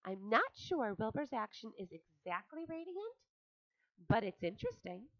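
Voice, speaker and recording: A woman's voice: fairly high pitch, normal speed, no echo, voice pushed up. A woman voicing a kind, wise female barn spider, coaxing and polite. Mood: urging, warm. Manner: bright, lively, coaxing and polite. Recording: dull lo-fi audiobook recording.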